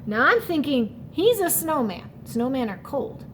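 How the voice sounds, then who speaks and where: A woman teacher leading a children's read-aloud lesson, speaking in a deliberate, instructional way and repeating key words. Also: sits high, big pitch swings, background noise.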